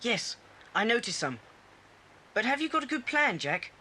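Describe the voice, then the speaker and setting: Bright-voiced, sensible boy. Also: medium pitch, big pitch swings, normal speed. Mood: practical. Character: sensible, cautious boy adventurer. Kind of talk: children's adventure audio drama acting. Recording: faintly hissy old cassette tape.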